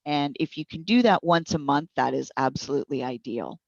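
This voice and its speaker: A woman's voice: low-pitched, brisk, vocal fry, no room sound, muffled recording. A woman working as a trainer, giving practical, step-by-step explanations with examples in an interview. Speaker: speech recognition consultant and trainer.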